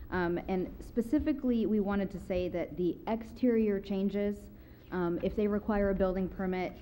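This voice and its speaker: Soft-toned woman presenting at a meeting. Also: fairly low pitch, slight background noise. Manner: careful, explanatory, frequent "um" fillers. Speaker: city planning staff presenter. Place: council chamber, seated at a table.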